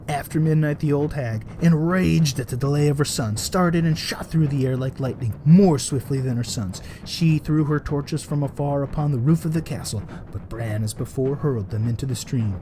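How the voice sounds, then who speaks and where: A man reading a folktale aloud as a storyteller, in expressive storybook narration. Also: a little high, normal speed, few pauses, a little hiss or hum, poor audio. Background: added music.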